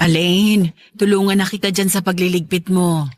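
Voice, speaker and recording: A woman's voice: fairly low pitch, fairly even intonation, moderate pace, a little hiss or hum, close to an everyday voice. A woman playing an aging, protective and stubborn but loving Filipino mother in a radio drama, chatty and firm in a motherly way. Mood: caring, chatty.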